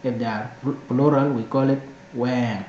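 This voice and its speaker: A man's voice: mid-range voice, steady tone, normal speed, darkish tone. A man from South Sudan, a native Dinka speaker with a South Sudanese accent, hosts an informal language lesson, repeating words for learners. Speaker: language teacher and channel host. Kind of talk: informal video lesson addressed to viewers. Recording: echoey room, muffled sound, faint noise.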